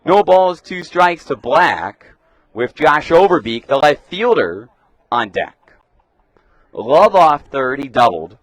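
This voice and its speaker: A young man calling live baseball play-by-play for radio in a crisp announcer delivery, punching up the pitch calls. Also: big pitch swings, takes it slowly, dry recording. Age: young adult. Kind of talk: live sports commentary.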